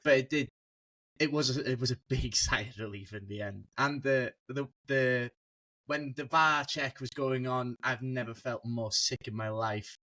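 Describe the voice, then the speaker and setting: A man's voice: medium pitch, fairly even intonation, normal speed, sharp tone, dry recording. A young man as a guest on a casual football podcast, telling stories animatedly and laughing mid-sentence over a video call.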